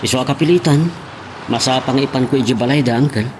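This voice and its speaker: A man's voice: medium pitch, fairly quick, darkish tone, a little hiss or hum, close to an everyday voice. A man with an Ilocano accent acting an earnest, respectful young family driver in a radio drama. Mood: earnest, defensive.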